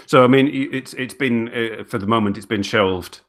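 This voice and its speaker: A man hosting a casual interview, asking his questions in a hesitant, halting way that circles around before landing. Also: medium pitch. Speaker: interviewer and host.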